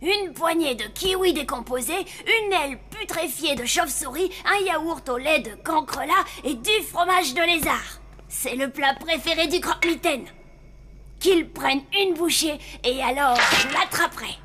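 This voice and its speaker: A woman voicing a stubborn, excitable little zombie boy in a cartoon, childish and insistent. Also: high-pitched, a little slow, a little hiss or hum, exaggerated voice. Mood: scheming. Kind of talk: animated cartoon dub acting. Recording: music behind the voice.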